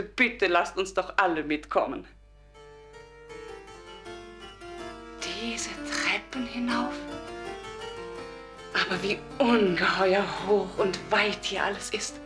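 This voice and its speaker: An actress as a meek, gravely ill wife in a radio play. She speaks slowly and solemnly, with halting fervour that can sink towards a whisper. Faint music sits around the voice. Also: medium pitch, natural voice. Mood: awed, fervent. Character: meek, devout, gravely ill wife bidding life farewell. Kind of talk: radio drama monologue.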